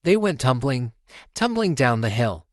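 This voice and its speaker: A man reads a children's storybook in an expressive, animated way. His middling voice moves freely up and down at an unhurried pace. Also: medium pitch, no room sound, studio quality. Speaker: storytime narrator.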